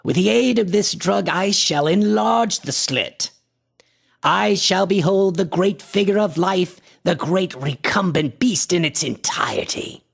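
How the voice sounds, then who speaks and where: An actor playing an obsessed, imperious antiquarian in a radio horror drama, breathy and husky with grandiose, formal delivery that often sinks toward a whisper. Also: male voice, high voice, a bit bright, heavily acted. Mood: reverent, grandiose. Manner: grandiose, deliberate, formal diction. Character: obsessed, imperious male antiquarian experimenting with a mystical drug. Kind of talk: theatrical radio horror acting.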